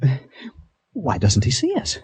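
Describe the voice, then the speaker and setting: Uncertain strong fugitive, polite, halting delivery, soft mellow tone, extremely animated intonation, radio drama. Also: male voice, mid-range voice, breathy edge, full character voice. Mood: tense, excited. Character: strong but unsure, well-mannered man on the run.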